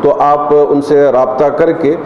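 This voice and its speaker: A man's voice: on the high side, level tone, takes it slowly. A man giving a spoken public appeal as a community leader. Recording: dull, echoey room, faint noise.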